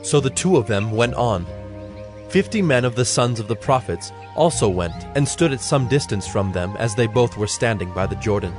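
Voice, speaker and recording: A man's voice: fairly low pitch, expressive, normal speed. A man narrating scripture from a script in a solemn storytelling manner. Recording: background music under the voice.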